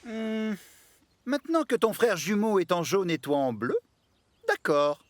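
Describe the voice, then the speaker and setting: Fière locomotive de course, très expressive. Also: mid-range voice, measured pace, no room sound. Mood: competitive, wary. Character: proud, earnest racing steam engine. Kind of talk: French cartoon dub.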